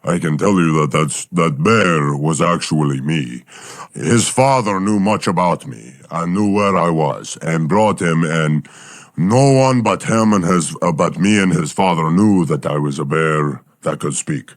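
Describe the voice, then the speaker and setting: Talking bear, extremely deep voice, boastful, dry close sound. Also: male voice, animated, a little slow, no echo. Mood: gruff boastful. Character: gruff male Russian talking bear, ex-war project. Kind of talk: improvised comedy sketch.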